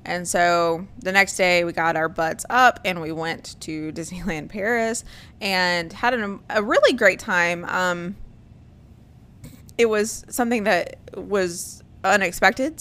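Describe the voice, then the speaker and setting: A woman talks in an easygoing host's chat, wandering from thought to thought and filling her pauses with ums and likes. Her voice sits a bit low for a woman. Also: fairly bright tone. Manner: rambling, with many ums and likes. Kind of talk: casual chat.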